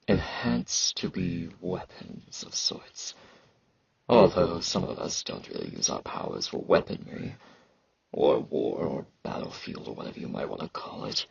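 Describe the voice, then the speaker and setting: A man playing a very gravelly, low-voiced awkward knight. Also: very animated, no echo, muffled recording, heavily acted. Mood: explaining, hesitant. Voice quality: sibilant S sounds. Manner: halting, hesitant, dryly dismissive. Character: blunt, awkward male fantasy knight, enhanced warrior soldier. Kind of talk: intimate ASMR roleplay monologue.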